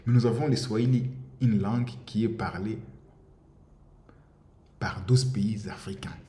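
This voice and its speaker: A man's voice: fairly low pitch, unhurried, warm tone. A man from the DR Congo, giving an impassioned monologue, insistent and repetitive, often stumbling over words. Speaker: commentator. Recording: roomy echo with faint ambience.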